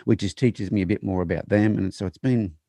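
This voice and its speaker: A middle-aged man, a horse trainer, talking in a casual interview with halting restarts. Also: lowish voice, warm tone, dry recording.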